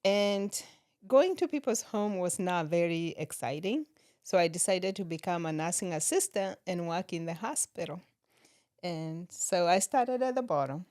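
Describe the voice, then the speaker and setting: A middle-aged woman from Kenya, a nurse practitioner, talking as a podcast guest in a relaxed way and laughing often. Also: fairly low pitch, normal speed, dry recording, studio quality. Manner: laughs often while telling stories. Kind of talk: relaxed interview conversation.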